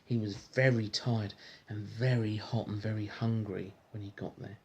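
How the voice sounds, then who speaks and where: A man's voice: lowish voice, flat delivery, moderate pace, lo-fi sound, a little hiss or hum. A man reading a storybook aloud to a child, chatting in a coaxing way with frequent little questions. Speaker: parent reading a bedtime-style story to a child.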